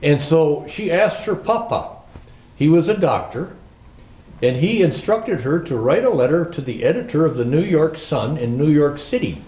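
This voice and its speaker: A man's voice: fairly high pitch, very animated, a little mellow, a little hiss or hum. A man playing Santa Claus as a jolly old storyteller, reading Christmas classics to children in a theatrical, lilting manner. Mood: storytelling. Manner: slow, theatrical, lilting recitation. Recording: roomy, echoing indoor sound.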